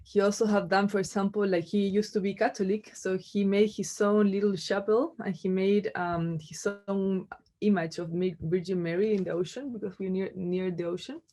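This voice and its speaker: A woman from Chile with a Chilean accent, a native Spanish speaker, giving an informal artist talk in a halting, conversational way peppered with filler words. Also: medium pitch, steady tone, mellow. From Chile, Santiago. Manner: halting, conversational, frequent "like" and "you know". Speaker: visual artist giving a lecture.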